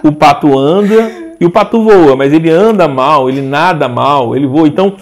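Gravelly rambling man in his forties. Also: on the high side, moderate pace. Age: 40s. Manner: rambling, animated, restarts often. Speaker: interview guest, social media page owner. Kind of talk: casual conversational interview.

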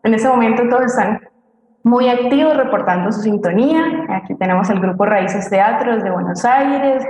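A woman's voice: fairly high pitch, a little mellow. A woman co-hosting a live broadcast, announcing greetings to the audience. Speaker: co-host reading audience greetings. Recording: roomy, echoing sound.

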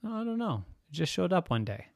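A storyteller voicing a calm, matter-of-fact young boy who talks in a shrugging, playful way. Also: medium pitch, a little fast, vocal fry, dry recording, studio quality, voice pushed up. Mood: offhand.

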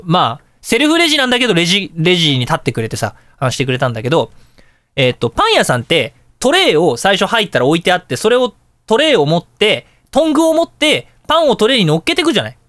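早口で抑揚の激しい高めの声の男性. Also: male voice, sits high, quick pace, dry recording, studio quality. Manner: animated storytelling, acts out dialogue and reactions. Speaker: radio show host. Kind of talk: casual anecdotal radio talk.

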